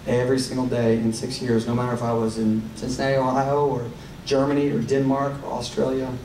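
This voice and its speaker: A man's voice: mid-range voice, flat delivery, fairly quick, a little mellow. A man in his thirties with a Southern Tennessee accent, preaching a casual, joking live sermon that swells into fervor. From Tennessee, USA. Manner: casual, joking, drawn out, rising to fervent. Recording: echoey hall, distant mic, room noise.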